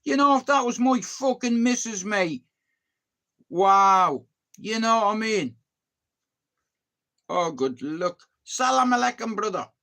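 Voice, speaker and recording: Young Irish man, high-pitched, crude joking livestream host. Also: expressive, slow pace, long pauses, bright, forceful tone. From Ireland. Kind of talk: casual chat with viewers.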